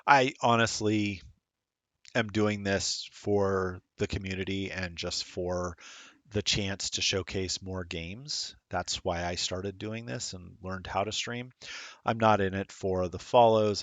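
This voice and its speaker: A man's voice: sits low, a bit bright, no room sound. A middle-aged man rambling casually while commentating a streamed tabletop game, self-deprecating and chatty.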